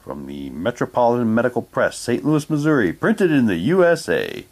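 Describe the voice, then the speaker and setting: A man's voice: medium pitch, sing-song, moderate pace, a little mellow. A man casually reading printed material aloud and commenting on it, with offhand muttered asides. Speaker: unboxing presenter.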